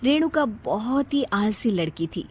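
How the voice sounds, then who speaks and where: Lively woman storyteller, fairly high voice. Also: measured pace, husky. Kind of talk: scripted story narration.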